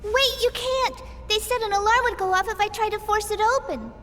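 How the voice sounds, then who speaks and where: A woman voicing a timid, trusting little girl android in a video game, soft and hesitant in her delivery. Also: very high voice, fairly quick. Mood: anxious, wary. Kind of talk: English video game dub acting. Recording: roomy echo, clear background noise.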